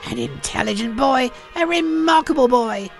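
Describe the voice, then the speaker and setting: A woman's voice: sits high, animated, normal speed, vocal fry, soft background music, pitched above the performer's own voice. A woman voicing an elderly male reformed miser in an audiobook, giddy with joy and earnest, with exclamatory, old-fashioned phrasing. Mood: delighted, eager. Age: older.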